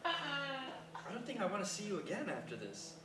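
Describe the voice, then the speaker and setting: A man's voice: sits high, huge pitch swings, normal speed, bright, forceful tone, full character voice. A man in a staged reading, playing a nervous, reluctant caregiver who stammers and sounds apologetic but sensible. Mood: uneasy, firm. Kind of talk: staged reading of a dramatic comedy. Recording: echoey room from far off, faint noise.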